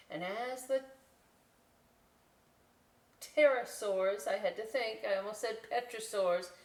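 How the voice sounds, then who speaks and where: A woman hosting a library story time, reading a picture book aloud with chatty, folksy asides to children. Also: medium pitch. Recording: echoey room, distant microphone.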